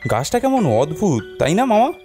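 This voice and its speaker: Man playing a high-pitched, timid cartoon nephew. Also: huge pitch swings, moderate pace, warm tone, soft background music. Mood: nervous. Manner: anxious, exclaiming, wary. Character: timid, excitable young nephew, also narrating the tale. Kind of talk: cartoon voice acting.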